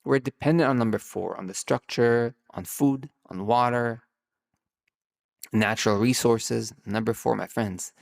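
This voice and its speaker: A man's voice: mid-range voice, measured pace, creaky. A man hosting his own podcast, thinking aloud off the cuff, drawing out key words and often restarting his sentences. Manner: rambling, musing, with many restarts. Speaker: podcast host, numerologist. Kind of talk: improvised solo podcast monologue.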